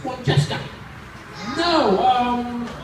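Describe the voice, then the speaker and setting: A high-pitched man works as a children's magician, repeating his phrases in theatrical, teasing build-ups. He is recorded from a distance in a very echoey space, with clear noise around him. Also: extremely slow. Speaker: children's puppet show magician and host. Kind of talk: live children's magic show patter.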